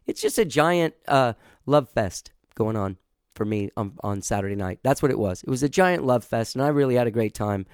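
A man hosting a casual local radio show, hoarse from rehearsing and singing, rambling enthusiastically with lots of fillers and restarts. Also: medium pitch, expressive, moderate pace, a little mellow, no room sound, studio quality. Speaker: show host.

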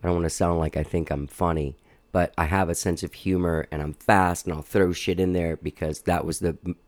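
A deep-voiced American man hosts a podcast conversation. He talks informally and chattily, interjecting with follow-up questions, in a very dry recording. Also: steady tone, a little fast, dry recording.

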